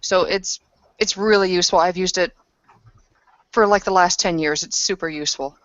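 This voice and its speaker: A woman's voice: lowish voice, moderate pace, a bit bright, dry recording, muffled recording. A woman roleplaying in a tabletop game, talking casually and bluntly with plenty of swearing. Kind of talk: in-character roleplay chat.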